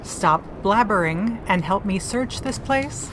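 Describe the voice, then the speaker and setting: An actress in an audio drama playing a brisk, bossy modern woman adventurer, blunt and sardonic. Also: medium pitch, animated, noisy background. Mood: brisk, impatient.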